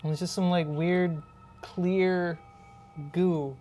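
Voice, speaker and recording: A woman's voice: lowish voice, steady tone, slow pace, warm tone, a little hiss or hum. A woman narrating a tabletop horror role-playing game as game master. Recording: music bed under the voice. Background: background music.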